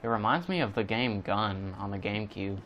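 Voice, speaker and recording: Low-voiced man doing casual gaming commentary. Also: expressive, a little fast, a bit bright. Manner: rambling, thinking aloud. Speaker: gaming YouTuber. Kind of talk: casual let's play commentary. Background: game music.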